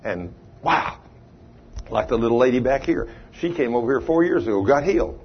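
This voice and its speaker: A man's voice: mid-range voice, expressive, moderate pace, breathy edge. A man preaching a sermon, folksy and plain-spoken, rising to emphatic preaching and taking questions from the audience. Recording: dull, muffled live recording.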